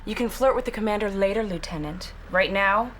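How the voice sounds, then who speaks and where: An actress playing a brisk, stern military commander who speaks in a clipped, no-nonsense way in a video game. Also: female voice, mid-range voice, fairly bright tone, a little hiss or hum, natural voice. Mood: stern, decisive. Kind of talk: video game cutscene acting.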